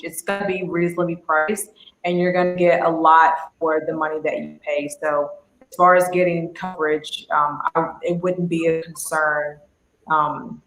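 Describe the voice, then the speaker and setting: A woman answering interview questions calmly and matter-of-factly over a video call. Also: sits low, echoey room, low-quality recording. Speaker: guest.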